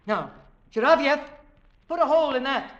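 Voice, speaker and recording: A man's voice: extremely high pitch, expressive, normal speed, noisy background. An actor playing a driven, protective man out hunting for revenge, earnest and intense in theatrical 1940s radio style. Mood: alarmed, urgent. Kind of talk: 1940s radio drama acting. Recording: dull lo-fi 1940s radio recording.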